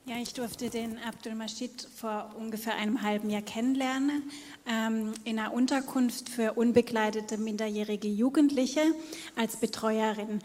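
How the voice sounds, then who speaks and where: A woman's voice: fairly high pitch, flat delivery, normal speed, darkish tone, slight background noise. A woman presenting and reading a prepared personal story aloud to others. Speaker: youth care worker reading another's testimony. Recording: long hall reverb.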